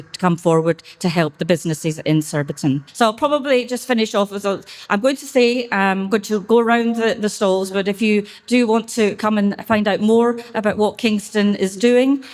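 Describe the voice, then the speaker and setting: A middle-aged woman with a Scottish accent gives an unscripted speech at a business event, halting often with fillers and restarts. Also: fairly low pitch, a little mellow. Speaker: council member speaking at a business expo.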